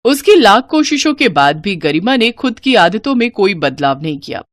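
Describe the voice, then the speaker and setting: A woman's voice: mid-range voice, big pitch swings, normal speed, dry recording. A woman narrating a scripted moral story as a storyteller.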